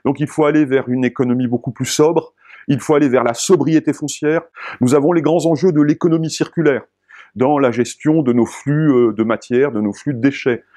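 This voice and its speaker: French male spokesperson, mid-range voice, informational delivery with halting, searching phrasing. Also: mid-range voice, fairly even intonation, warm tone. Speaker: expert spokesperson for local government association. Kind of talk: explanatory talk in an informational video.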